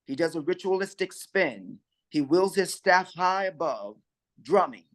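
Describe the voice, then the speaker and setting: A man reading stage directions aloud in a measured, even way during a play reading. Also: on the high side.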